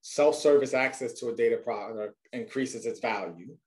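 A man's voice: mid-range voice, normal speed, darkish tone. A man in his forties talking conversationally and with confidence on a video call panel. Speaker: data leader panelist.

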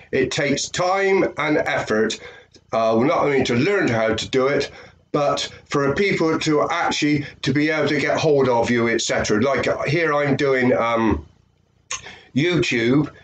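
A man's voice: medium pitch, rough recording. An older British man from the UK, nasal from a head cold, giving a rambling webcam talk full of fillers and repeats. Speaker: affiliate marketer. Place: small room indoors.

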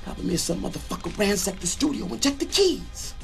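Jittery American radio DJ, music behind. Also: male voice, sits high, sing-song, a little fast, creaky, fairly bright tone, heavily acted. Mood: urgent, nervous. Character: jittery, paranoid male small-town radio disc jockey. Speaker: radio disc jockey.

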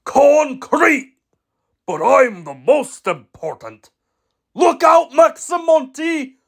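A man voicing a bossy, self-important cement truck in a children's cartoon, loud, boastful and exclamatory. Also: extremely high pitch, sing-song, slow pace, vocal fry, full character voice. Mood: bossy, flustered. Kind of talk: animated children's show character acting.

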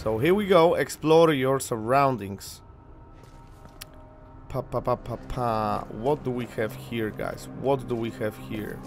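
A man's voice: medium pitch, slow pace, music in the background. A young man from Poland whose first language is Polish, rambling casually with fillers as he commentates a video game on a headset mic. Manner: chatty, rambling, lots of fillers. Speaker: gaming channel host.

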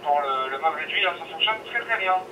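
A man's voice: on the high side, lively intonation, brisk, dull audio. A man, an amateur operator talking informally over a digital radio link. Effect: digital radio transmission. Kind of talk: informal two-way ham radio exchange. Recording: noisy distant radio transmission from speaker.

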